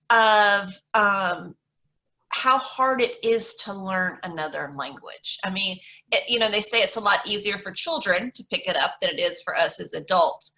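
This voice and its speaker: A woman's voice: mid-range voice, sharp tone. A woman hosting an online teacher meetup over a video call, talking in a chatty, rambling way with many fillers and restarts. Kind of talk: casual video call chat.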